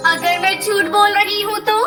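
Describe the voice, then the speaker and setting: Very high, insistent mother parrot played by a woman. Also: fairly even intonation, normal speed. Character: pleading older female parrot, insistent mother. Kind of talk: children's cartoon story dialogue. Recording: loud music under the voice.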